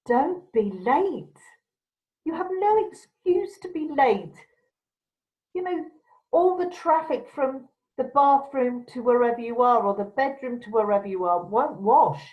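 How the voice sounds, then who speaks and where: A woman's voice: sits high, animated, measured pace. A woman presenter giving instructional advice to camera in a firm, direct, emphatic way. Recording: dull, lo-fi sound.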